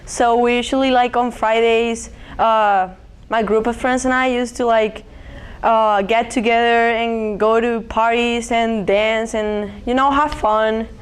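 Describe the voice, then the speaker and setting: Teen girl from venezuela, kinda high, smooth clear voice, casual. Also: fairly even intonation, unhurried. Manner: casual, uses fillers. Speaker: student interviewee.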